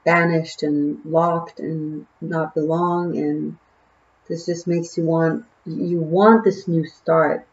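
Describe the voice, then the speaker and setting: Casual tarot reader, a woman with an Israeli accent and a slightly low voice, rambling through fillers on a muffled home recording. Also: little pitch movement, slow. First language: Hebrew. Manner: chatty and musing, trailing off with fillers. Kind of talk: casual tarot reading to camera.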